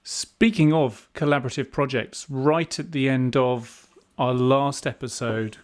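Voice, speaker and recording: A middle-aged man co-hosting a podcast, chatting in a measured, slightly hesitant way. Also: medium pitch, steady tone, clear, resonant tone. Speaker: podcast co-host. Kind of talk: casual podcast chat.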